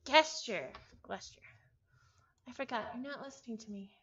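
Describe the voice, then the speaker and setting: A woman's voice: fairly high pitch, normal speed, fairly bright tone, lo-fi sound. A woman reading a story aloud live with casual asides, often stumbling over and repeating words. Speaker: visual novel reader, streamer.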